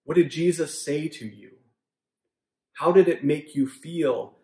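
Earnest pastor in an echoey room. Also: male voice, fairly high pitch. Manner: earnest, measured, softening to a hushed prayer. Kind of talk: sermon with closing prayer. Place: home room with bookshelves, indoors.

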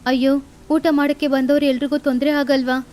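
A woman's voice: high-pitched, little pitch movement, brisk, a little mellow, lightly acted. A woman voicing a worried mother sparrow who frets aloud while hosting a feast. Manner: worried, fretting.

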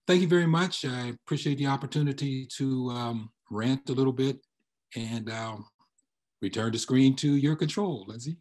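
A man's voice: medium pitch, moderate pace, a little mellow. An older man with a mid-range voice presents like a professor. He is speaking indoors on a video call through a computer microphone. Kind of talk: spoken presentation and discussion. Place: indoor room.

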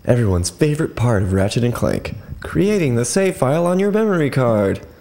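A man's voice: medium pitch, big pitch swings, brisk, creaky, a little mellow. A young man co-hosting a let's play with casual, playful, profane commentary and frequent exclamations. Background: video game music.

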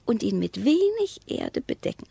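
A sceptical, needling wife in a radio drama. Her high voice moves in animated swings as she argues with precision. The sound is close and dry. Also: normal speed, gravelly, breathy edge, no echo, heavily acted. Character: nagging, sceptical wife in a loveless marriage. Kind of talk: German radio play acting.

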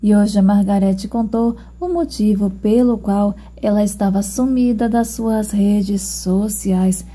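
A woman's voice: medium pitch, measured pace, few pauses, dark tone, slightly rough. A woman reading a scripted narration between video clips.

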